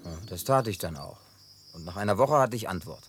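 An actor dubbing a man who tells a long story in a laid-back way with gruff humor. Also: low voice, lively intonation, a little fast, soft background music. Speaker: lead character in a dubbed film. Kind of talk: dubbed film acting.

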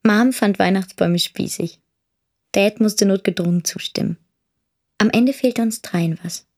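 Husky, creaky, dryly ironic young woman. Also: medium pitch, a little slow, darkish tone. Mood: dryly amused. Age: young adult. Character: dryly ironic young daughter recalling family life. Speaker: radio drama actor. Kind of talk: first-person radio drama narration.

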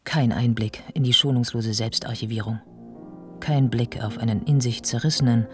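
Radio play narrator: measured, sombre woman observing a writer's life, prominent music behind. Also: very deep voice, fairly even intonation. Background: prominent instrumental music.

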